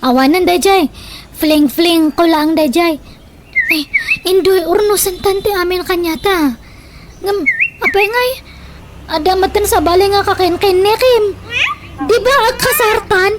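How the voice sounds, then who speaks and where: A woman's voice: very high voice, unhurried, pauses often, noisy background. A woman acting a hot-tempered, jilted village woman in an Ilocano comedy radio drama, melodramatic and indignant, with an Ilocano accent. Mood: accusing, betrayed.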